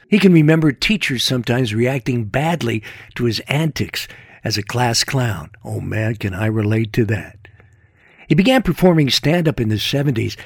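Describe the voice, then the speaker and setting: A male radio host tells a scripted introduction in a chatty, conversational way. His voice is husky. The sound is close and dry. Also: medium pitch, no room sound.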